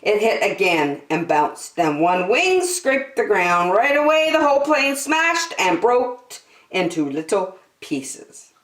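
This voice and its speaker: Animated woman reading a storybook aloud. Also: mid-range voice, takes it slowly. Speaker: storytime host reading a children's book. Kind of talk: expressive storybook read-aloud for young children. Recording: echoey room.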